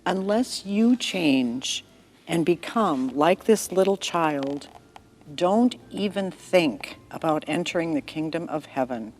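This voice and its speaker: A woman voicing a gentle, tender teacher. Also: low voice, lively intonation, slow pace, full character voice. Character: Jesus, a gentle, kind grown man teacher.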